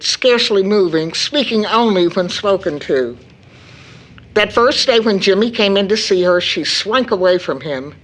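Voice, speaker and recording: A woman playing a worried, caring mother in an audio drama, speaking in a measured, earnest way. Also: mid-range voice, fairly bright tone. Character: worried, caring mother recounting her daughter's breakdown.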